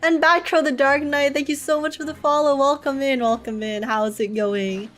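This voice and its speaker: A woman's voice: high-pitched, fairly even intonation, moderate pace, fairly bright tone. A young woman livestreaming a game, chatting casually with viewers in a bubbly, giggly, chatty way. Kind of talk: casual livestream chat, reading and answering viewer comments. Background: game music.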